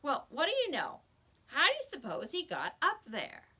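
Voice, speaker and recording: A woman's voice: high voice, huge pitch swings, normal speed, pushed and bright, voice pushed up, exaggerated voice. A woman voicing a surprised, folksy male auto mechanic in a storybook, with casual exclamations.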